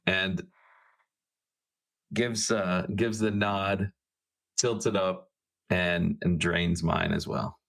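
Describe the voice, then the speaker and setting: Very deep-voiced man in a tabletop game. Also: steady tone, very slow, vocal fry, pauses often, a bit bright. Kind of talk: casual in-game chat.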